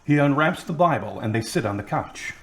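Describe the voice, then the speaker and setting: A man's voice: medium pitch, animated, fairly quick. A man reading scripted scene narration for an audio drama as its narrator.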